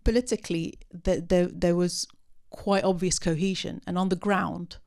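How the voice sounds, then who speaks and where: A woman speaking unscripted at a post-film discussion, answering audience questions with many pauses, ums and restarts. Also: lowish voice, fairly even intonation, vocal fry, darkish tone. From part Syrian.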